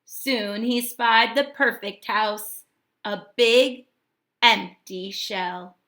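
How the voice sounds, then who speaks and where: A woman teacher reading a storybook aloud and talking to her students in an expressive, deliberate way. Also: mid-range voice. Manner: expressive, deliberate, addressing children directly.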